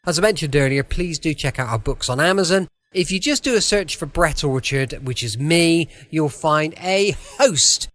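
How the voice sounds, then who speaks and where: A man's voice: on the high side, animated, moderate pace, a bit bright. A man hosting a podcast in a casual, scripted outro style. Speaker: podcast host.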